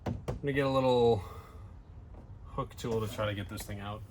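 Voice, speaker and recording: A man's voice: fairly low pitch, fairly even intonation, moderate pace, darkish tone, slight background noise. A man casually narrating a hands-on DIY job as he works, thinking aloud in a tentative way. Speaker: DIY video host.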